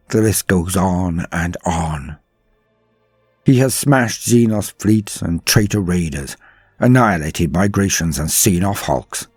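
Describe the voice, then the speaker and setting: A deep-voiced man tells a dramatic scripted story with solemn weight and deliberate pauses. His voice is a little rough-edged, recorded dry and close over soft background music. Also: dry recording. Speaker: storyteller and narrator. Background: faint music.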